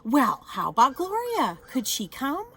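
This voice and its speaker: A woman's voice: high voice, huge pitch swings, a little slow, bright, forceful tone, breathy edge, voice pushed up, full character voice. A woman voicing a polite, coaxing female school principal in a storybook.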